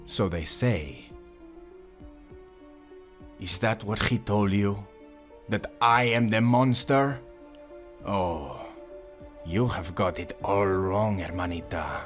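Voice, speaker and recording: A man's voice: fairly low pitch, slow pace, long pauses. A man playing a cruel, vengeful exiled prince in an audio drama, taunting and sneering theatrically, with music behind him. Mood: mocking, cool. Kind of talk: dramatic audio roleplay acting.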